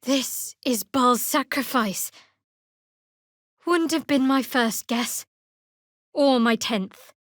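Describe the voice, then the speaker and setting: Young female cleric, higher voice, guarded, dry wit, measured delivery, close dry studio sound, fantasy game dialogue. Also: no room sound. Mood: dry, sardonic. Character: guarded, wry young woman cleric of a dark goddess. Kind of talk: video game character dialogue.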